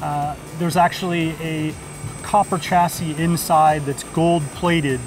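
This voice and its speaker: A man's voice: a little high, steady tone, slow. A man explaining a product informally and agreeably as a company representative at a trade show booth. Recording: music playing in the background. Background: music.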